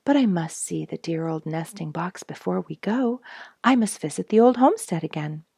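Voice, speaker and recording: A woman's voice: on the low side, animated, normal speed, creaky, dark tone, breathy edge, dry recording, studio quality, exaggerated voice. A woman voicing a sentimental mother bluebird in a children's storybook, with a tender, wistful manner. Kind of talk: children's storybook character reading.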